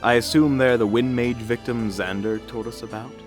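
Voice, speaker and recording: A man's voice: medium pitch, steady tone, moderate pace, natural voice. A man playing a smug, sardonic, theatrical mage in a fantasy audio drama. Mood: thoughtful. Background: faint music.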